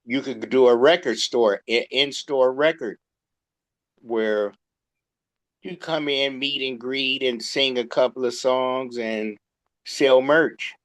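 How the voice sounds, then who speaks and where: A man talking casually as a co-interviewer, halting and rambling as he goes. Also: medium pitch. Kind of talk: casual conversation.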